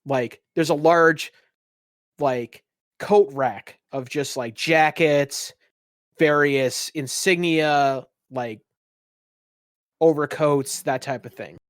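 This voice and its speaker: Male game master, higher register, dry recording, halting but descriptive improvised narration. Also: dry recording.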